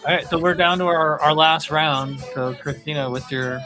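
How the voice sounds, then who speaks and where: A man's voice: a little high, normal speed, rough recording. A man hosting a casual panel chat about music, talking in a rambling, tentative way. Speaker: podcast host.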